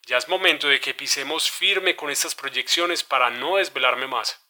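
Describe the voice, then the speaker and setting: A man's voice: medium pitch, moderate pace, pushed and bright. A man playing a worried, businesslike executive in a scripted podcast scene, speaking with urgency. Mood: worried, resolute. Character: worried businessman anxious about dollar swings, pressing and decisive. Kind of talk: scripted podcast dramatization, one side of a call.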